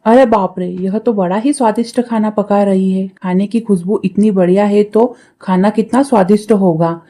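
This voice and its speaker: A woman's voice: medium pitch, steady tone, moderate pace, warm tone, close to an everyday voice. A woman voicing a spiteful, scheming young crow sister-in-law in a cartoon story, sly and mocking with many exclamations. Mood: envious, scheming. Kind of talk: Hindi cartoon story acting.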